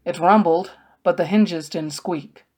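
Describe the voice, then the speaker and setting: Slow-paced woman reading a story aloud. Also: lowish voice, a little mellow. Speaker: audiobook narrator. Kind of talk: scripted first-person story narration.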